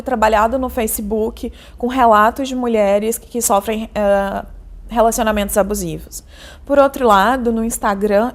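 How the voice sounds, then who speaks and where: A woman journalist and university lecturer giving a measured, earnest interview testimony, often tagging her phrases with a questioning "né?". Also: mid-range voice.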